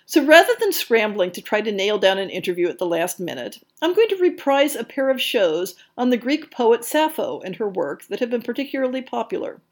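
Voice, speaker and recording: A woman hosting a podcast, delivering scripted book news in an articulate, measured way with bookish phrasing. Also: a little high, big pitch swings. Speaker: podcast host.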